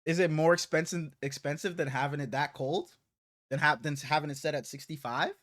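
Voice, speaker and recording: A man's voice: a little high, fairly even intonation, a little fast, fairly bright tone. A man hosting a casual sports podcast, slightly congested, talking in loose, profane slang. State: slightly congested, under the weather.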